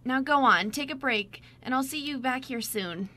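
A woman's voice: sits high, moderate pace, bright, forceful tone. A woman playing a kind, encouraging fashion photographer, coaxing and reassuring, in an amateur radio play. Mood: concerned, kind.

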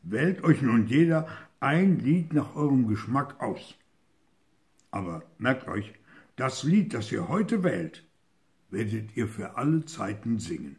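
An older man voicing a shaggy bear, stern lord of the forest, speaking deliberately and with command in a storybook read-aloud. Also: medium pitch, sing-song, a little mellow.

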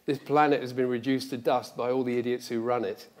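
A middle-aged man with a mid-pitched voice, talking as an interview guest on a lecture theatre stage. He is reflective and emphatic, speaking conversationally. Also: fairly even intonation, fairly quick. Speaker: guest, audio drama director.

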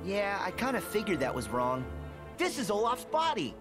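A man playing a kind, eager teenage boy hero in an animated fantasy game. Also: high voice, normal speed. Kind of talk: animated game cutscene acting. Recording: music behind the voice.

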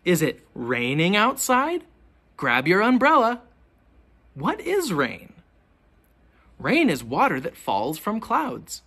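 Teacher doing a kids read-aloud, super swoopy intonation, bit of a husky voice, asks questions real deliberately. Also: medium pitch. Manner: expressive, deliberate questioning delivery for young children. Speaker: teacher reading a picture book aloud. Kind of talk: children's storybook read-aloud.

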